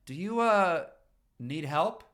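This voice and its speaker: A man's voice: on the high side, animated, takes it slowly, fairly bright tone, voice pushed up. A man reading a story and speaking as a caring, level-headed uncle, practical and firm though a little awkward. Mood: calling out.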